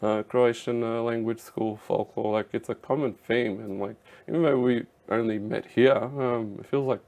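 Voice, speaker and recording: A man's voice: mid-range voice, fairly even intonation, normal speed, gravelly, darkish tone. A man in his thirties from Canada, a podcast guest talking earnestly in casual chat, with many filler words. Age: 30s. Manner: earnest, lots of "you know" and "um" fillers.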